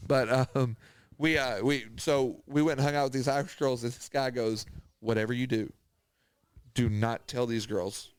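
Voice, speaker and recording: Animated man swapping stories, dry recording. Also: medium pitch, normal speed, smooth, no echo. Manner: animated retelling with acted-out quotes, swearing. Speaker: podcast host. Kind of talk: casual chat, anecdotal storytelling.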